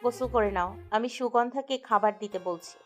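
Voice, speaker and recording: Lady doing a gentle young wife in a romance audio story, high voice, caring, music under it. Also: normal speed, close to an everyday voice. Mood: soft, caring. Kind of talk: romantic audio drama acting.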